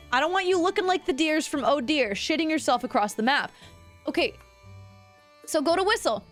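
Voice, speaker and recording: A woman's voice: high-pitched, fairly bright tone. A young woman streamer, giving a chatty, giggly voice lesson to her chat and swearing casually. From Canada.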